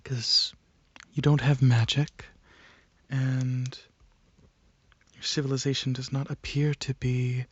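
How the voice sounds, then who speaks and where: A man performing soft-spoken ASMR roleplay as a calm alien dragon examiner, hesitant and clinical, with a breathy voice that slips into whispers. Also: mid-range voice, muffled recording. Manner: hesitant, pausing, clinical questioning.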